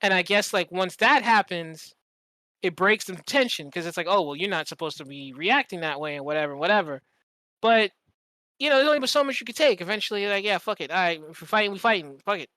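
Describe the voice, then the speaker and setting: Bright, animated, profane podcast storyteller. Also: medium pitch, fast, no echo, poor audio. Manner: animated, profane, slangy, acts out quoted dialogue. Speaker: podcast host. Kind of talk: casual storytelling in conversation.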